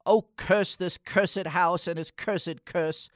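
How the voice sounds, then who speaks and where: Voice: medium pitch, fairly even intonation, slow pace, no room sound, studio quality, close to an everyday voice. An actor playing a timid, morose young boy with theatrical, old-fashioned wording in a comic radio play. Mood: fretful. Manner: theatrical, florid antique wording.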